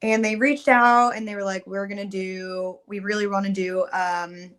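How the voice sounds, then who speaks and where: A woman's voice: medium pitch, little pitch movement, normal speed, fairly bright tone. A young adult woman, a singer guest on a podcast, chatting casually and upbeat with many "like"s and "um"s. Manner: chatty, upbeat, uses "like" and "um" often. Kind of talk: casual interview chat.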